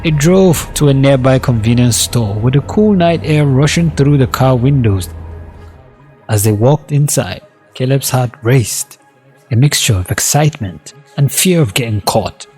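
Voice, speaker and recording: A man's voice: medium pitch, animated, unhurried, dark tone, breathy edge, soft background music, rough recording. A man narrating a scripted dramatic story as its storyteller.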